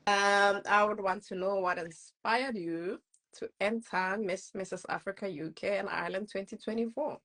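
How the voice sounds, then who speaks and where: A woman's voice: medium pitch, normal speed, a bit bright. A woman co-hosting a live online interview, polite and encouraging as she asks her questions. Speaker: co-host interviewer.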